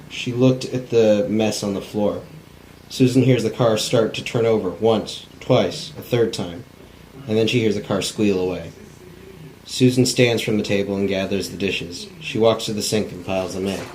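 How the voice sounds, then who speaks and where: A man's voice: fairly low pitch, a little mellow, poor audio. A man narrating stage directions in a plain, unpolished cold reading of a script. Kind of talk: scripted narration at a table read. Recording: faint background noise.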